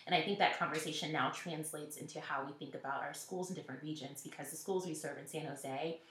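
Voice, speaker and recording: Low-voiced woman in an echoey room. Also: steady tone, fast talker, a bit bright. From Nashville, Tennessee. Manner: earnest, reflective, quoting others in anecdotes. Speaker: interview guest, school network executive. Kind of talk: conversational interview answers. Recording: roomy echo.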